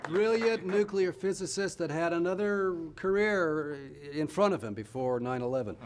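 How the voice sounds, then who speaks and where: An actor playing a proud middle-aged team leader giving a halting, effusive speech of thanks in a film. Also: male voice, sits high, lively intonation, slight background noise. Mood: grateful, proud. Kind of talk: informal award acceptance speech, film acting.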